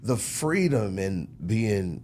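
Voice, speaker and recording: Casting: low-voiced man, slangy music exec chatting as an interview guest, noticeable background noise. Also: sing-song, takes it slowly, darkish tone. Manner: slangy, peppered with "you know" and "man". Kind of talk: casual conversational interview.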